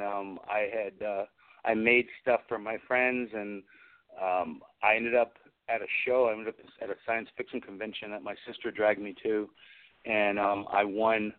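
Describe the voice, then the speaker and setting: Interview guest: man, casual and meandering, hesitant, fairly low pitch, telephone sound. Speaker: guest, special effects artist. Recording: phone-like narrow-band audio.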